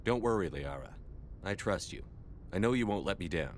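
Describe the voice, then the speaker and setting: An actor playing a composed, reassuring starship commander in a video game, speaking in a direct, plain way. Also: male voice, low-pitched, lively intonation, normal speed, a little hiss or hum. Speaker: ship's commander.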